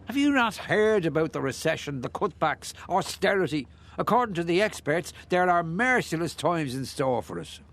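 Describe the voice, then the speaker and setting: An actor playing a chatty, nosy older Irish ex-bricklayer who rambles and muses in a radio play. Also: male voice, fairly high pitch, big pitch swings, moderate pace, fairly bright tone. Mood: chatty, musing. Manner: garrulous, rambling, musing, with hesitations.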